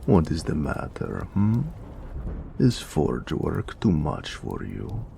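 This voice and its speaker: A man playing a big, teasing, doting orc blacksmith with a gravelly voice in a romantic audio roleplay. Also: rumbling low, huge pitch swings, takes it slowly, mellow, a little hiss or hum, full character voice. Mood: playful teasing. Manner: playful, flirtatious, frequent chuckling.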